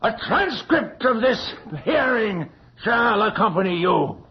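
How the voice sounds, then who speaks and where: A man's voice: high-pitched, expressive, slow pace, heavily acted. An actor playing a haughty, domineering magistrate of the king in a radio horror drama, speaking in formal, pompous phrases. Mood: outraged, commanding. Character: stern, haughty magistrate of the king, contemptuous of peasants. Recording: dull, noisy old radio recording.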